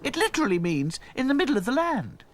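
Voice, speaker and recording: A man voicing a bright, eager little female rag doll who explains things, in a cartoon. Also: very high voice, sing-song, fast talker, a little hiss or hum, pitched above the performer's own voice.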